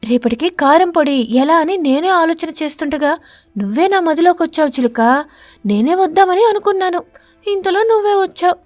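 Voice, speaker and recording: A woman voicing a stingy, crafty wife sparrow in an animated story, with a theatrical, scolding, self-satisfied manner. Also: extremely high pitch, normal speed, no echo, faint music behind, voice pushed up. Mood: feigned regret.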